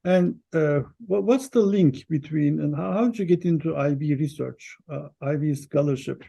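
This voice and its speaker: A male interviewer with a slightly higher-pitched voice that carries a gravelly edge. He speaks as a host, moving between prepared introduction and questions in a mellow, relaxed tone. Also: normal speed.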